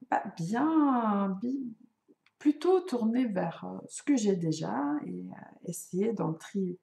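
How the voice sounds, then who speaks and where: A woman's voice: lowish voice, creaky, a little mellow, reverberant. A middle-aged woman whose first language is not French, chatting casually to camera as a vlogger, self-mocking and full of hesitations. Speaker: knitting and crochet vlogger.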